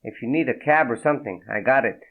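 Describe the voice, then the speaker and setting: A man's voice: medium pitch, quick pace, a bit bright, pitched above the performer's own voice. A man playing a weary, tough-talking mobster who speaks bluntly and impatiently. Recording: tinny phone-like sound.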